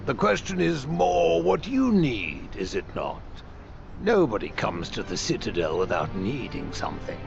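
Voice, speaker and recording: Gravelly, shrewd scholar. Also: male voice, mid-range voice, big pitch swings, exaggerated voice. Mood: knowing, dry. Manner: dry, knowing, deliberate phrasing. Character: shrewd, blunt male archmaester, worldly scholar. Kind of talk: video game cutscene acting. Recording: dull muffled audio under clear music.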